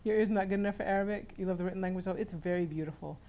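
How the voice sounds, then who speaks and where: A woman's voice: mid-range voice, very fast, dark tone, slight background noise. A woman hosting a casual livestream from home, reading out viewer comments and chatting with her family, laughing often. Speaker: livestream host.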